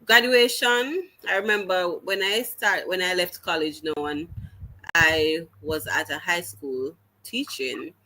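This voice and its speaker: A woman's voice: lowish voice, bright, forceful tone, poor audio. A woman with a Jamaican accent, a teacher telling her story as an interview guest, earnest and full of restarts. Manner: earnest, halting restarts, slips into patois.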